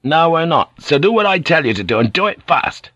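A man voicing a commanding, short-tempered ship's pilot in an audiobook, giving curt, forceful orders. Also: fairly high pitch, big pitch swings, fairly quick, no echo, pitched above the performer's own voice. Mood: commanding, testy.

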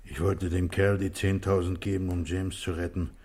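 An actor playing a loyal, subdued friend of a killer in a German radio crime play, with a dark, husky voice. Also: male voice, deep voice, level tone, moderate pace. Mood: subdued, pained.

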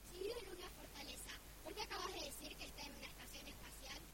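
A woman's voice: high voice, expressive, quick pace. A woman playing an inquisitive, pedantic little girl who keeps questioning in a comedy sketch. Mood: nagging, curious. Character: nagging, inquisitive little girl hearing a bedtime-style story. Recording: very noisy amateur recording.

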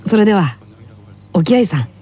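A woman's voice: on the low side, big pitch swings, normal speed, breathy edge, dull audio. A woman casually hosting a radio show and putting questions to guests.

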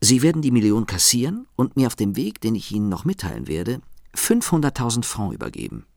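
An actor playing a suave gentleman thief, smug and mocking, with a gravelly voice. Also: medium pitch, huge pitch swings, a little fast, no room sound, clean studio sound. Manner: smug, taunting.

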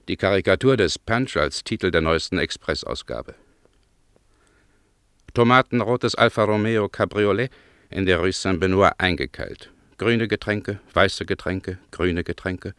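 A radio play narrator with a very low voice, recorded close and dry. He sets out literary observations in measured, clipped phrases. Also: fairly bright tone, no echo. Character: male narrator observing nighttime city street scenes.

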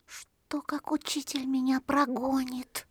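A woman's voice: high-pitched, little pitch movement, takes it slowly, husky, no echo, heavily acted. A woman voicing an eager little village boy in an audio storybook. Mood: frightened. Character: eager, timid little village boy, boastful when bold.

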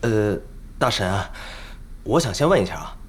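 A man's voice: lowish voice, expressive, normal speed, slight background noise, natural voice. An actor playing a hesitant but loyal young male gamer fan in a Chinese audio drama.

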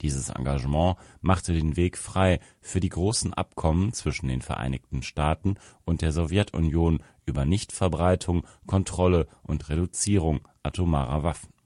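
Male narrator, very deep bass, formal measured article read, dry studio-style sound. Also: mellow, no echo. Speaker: narrator reading a magazine article. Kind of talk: scripted narration.

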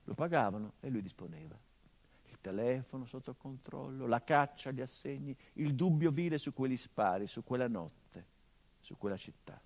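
A bitter, haunted son mourning a murdered father in a stage monologue. His voice sits at a medium pitch and carries a sharp irony. It swells into loud outbursts, then drops to low, hushed tones. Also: expressive, normal speed. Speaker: stage actor. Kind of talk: theatrical monologue.

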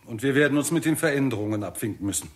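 An actor playing a cool, authoritative lead scientist in a 1960s German radio play, speaking in a measured, deliberate way. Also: male voice, mid-range voice, animated, lightly acted. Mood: firm, resigned.

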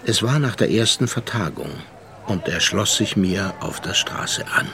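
Gravelly, reflective seafaring narrator. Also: male voice, fairly low pitch, animated, crowd noise. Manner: measured, reflective. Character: reflective seafaring narrator, worldly, sceptical gentleman. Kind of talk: radio play narration and dialogue.

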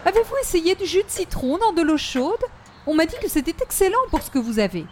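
A very high, fluty-pitched society lady from an old-time radio comedy. She is fussy and effusive, with precious, formal manners, over faint background noise. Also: very animated, brisk, a little mellow. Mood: fussy sympathy. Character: fussy, genteel, concerned lady visitor.

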